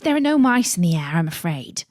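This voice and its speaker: A woman's voice: on the low side, sing-song, brisk, darkish tone, no echo, clean studio sound. A woman voicing a curious, dreamy, chatty little girl in a storybook reading. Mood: wistful, musing.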